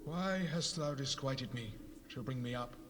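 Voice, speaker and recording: A man's voice: medium pitch, a little slow, close to an everyday voice. A man playing the ghost of a stern old prophet in a dramatized Bible, solemn and reproachful as he declaims. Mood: disquieted, grave. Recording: long reverb, noisy 1970s cassette rip.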